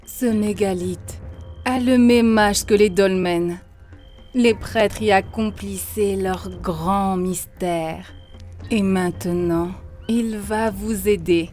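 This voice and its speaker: A woman playing a calm, wise leader of ancient pagan rites in a cartoon, speaking in a solemn, instructive way. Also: medium pitch, takes it slowly, soft background music, close to an everyday voice. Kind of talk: cartoon dialogue, French dub.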